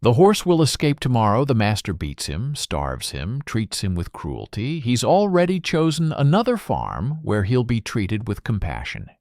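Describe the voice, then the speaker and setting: A man voicing an alarmed goat who spreads rumours gravely and urgently in a fable. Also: medium pitch, wildly swooping pitch, normal speed, few pauses, a little mellow, no room sound, clean studio sound.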